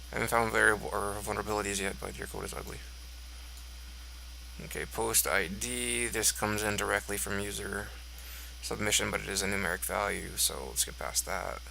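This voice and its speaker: A man's voice: lowish voice, vocal fry, sharp tone, a little hiss or hum, rough recording. Congested man musing over code. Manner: musing, thinking aloud while reading code. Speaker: code reviewer. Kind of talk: screen-recorded code walkthrough.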